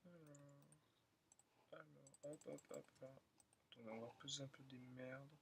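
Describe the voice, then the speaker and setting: A young man who is still in school, slightly hoarse, rambling and thinking aloud with many fillers as he comments on his own gameplay. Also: mid-range voice, steady tone, a little slow, heavy background noise. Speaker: gaming channel host. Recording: loud keyboard and mouse clicking.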